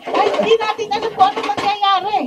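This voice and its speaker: A woman's voice: extremely high pitch, little pitch movement, quick pace, loud music behind the voice. A Filipino woman acting an anxious, outspoken mother in a radio drama. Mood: frightened. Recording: distant studio camera mic, clearly noisy.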